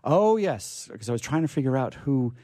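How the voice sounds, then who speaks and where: A man's voice: medium pitch, big pitch swings, moderate pace, dark tone, no room sound. A man hosting a radio show off the cuff, effusive, jokey and rambling. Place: radio studio.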